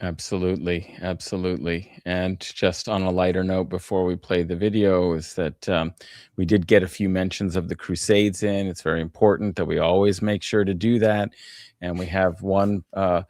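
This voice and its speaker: A man's voice: deep voice, little pitch movement, moderate pace, a little mellow, no room sound, studio quality. A man hosting a livestream panel in casual, chatty talk full of fillers, who gets enthusiastic. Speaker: host.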